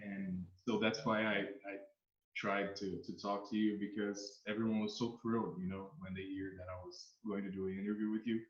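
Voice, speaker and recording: Brazilian-accented man asking halting questions. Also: lowish voice, little pitch movement, roomy sound, highs cut off. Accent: Brazilian Portuguese. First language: Portuguese. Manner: halting, searching for words. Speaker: interviewer, channel host. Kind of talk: casual interview.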